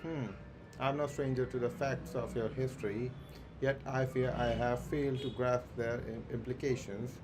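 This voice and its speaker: A man livestreaming a game, reading its dialogue aloud haltingly, with stumbles and self-corrections. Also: medium pitch, fairly even intonation. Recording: clearly noisy background.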